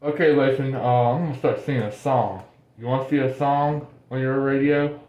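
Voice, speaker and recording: A man's voice: medium pitch, steady tone, moderate pace, darkish tone. A man vlogging with casual, joking chat, recorded in a bathroom. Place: small bathroom indoors. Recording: roomy echoey bathroom, music behind.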